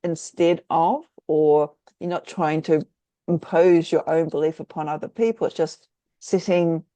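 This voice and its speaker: A podcast host, chatting casually in an interview with halting, reflective, rambling phrasing. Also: medium pitch, steady tone, warm tone, dry recording. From Australia or New Zealand.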